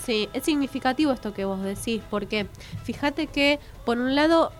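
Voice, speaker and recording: A woman's voice: sits high, normal speed, soft background music. Reflective Argentine woman co-hosting radio. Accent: Argentine Spanish. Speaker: radio co-host. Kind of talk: educational radio chat.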